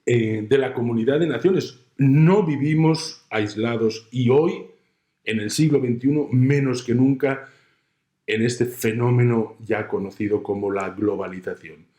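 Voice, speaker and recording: A middle-aged man with a Spanish accent from Spain lectures slowly, pausing often between phrases. He explains his point patiently with everyday examples. The room carries a noticeable echo. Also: mid-range voice, warm tone. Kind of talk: recorded university lecture.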